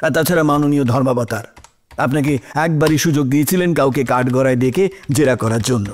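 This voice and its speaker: A man's voice: medium pitch, quick pace, a little hiss or hum, close to an everyday voice. A man playing an impatient, indignant prosecuting lawyer in an audio drama, sarcastic and hectoring. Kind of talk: audio drama courtroom acting.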